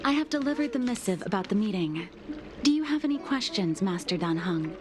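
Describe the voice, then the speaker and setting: A woman's voice: mid-range voice, animated, a little fast, music in the background, close to an everyday voice. A woman voicing a formal, disapproving maid in a video game, with a prim and deferential manner. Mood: polite, deferential. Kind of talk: scripted video game voice acting.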